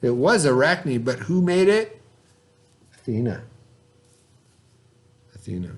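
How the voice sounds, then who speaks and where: A man's voice: medium pitch, very animated, slow pace. A man teaching children, reading a story aloud and explaining it informally, with questions to his pupils. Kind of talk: children's read-aloud and lesson.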